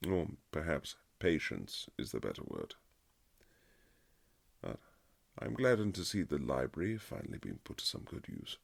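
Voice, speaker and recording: A man playing a courtly Regency nobleman husband, tender and gently teasing, in a husky romantic roleplay with formal, old-fashioned phrasing. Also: exaggerated voice. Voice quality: very low, husky, gravelly. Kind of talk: romantic boyfriend ASMR roleplay, period drama.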